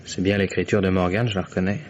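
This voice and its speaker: An actor playing a respectful, puzzled adult son in a vintage French radio mystery, formal and deferential. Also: male voice, low-pitched, flat delivery, fast, natural voice. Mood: troubled. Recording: dull, noisy vintage radio recording.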